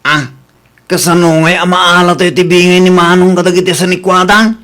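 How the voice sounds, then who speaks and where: An eager accomplice in a radio play, with a high, bright and sharp voice. He approves of the scheme and is keen to join in. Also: little pitch movement, moderate pace. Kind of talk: radio drama acting.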